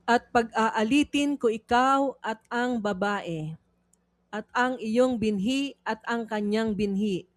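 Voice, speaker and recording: A Filipino woman narrating a scripted read-along Bible quiz in a measured, deliberate way with pauses between phrases. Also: a little high, no echo.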